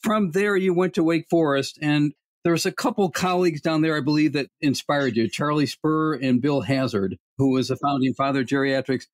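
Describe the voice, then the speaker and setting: A man hosting a podcast puts a question to his guest in a conversational, measured way. His voice is a bit high and sounds dry and close. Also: dry recording. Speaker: podcast host. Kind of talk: interview question.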